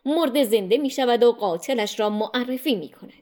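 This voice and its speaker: Solemn calm prophet, as a woman narrator voices him. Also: fairly high pitch, natural voice. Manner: solemn, formal.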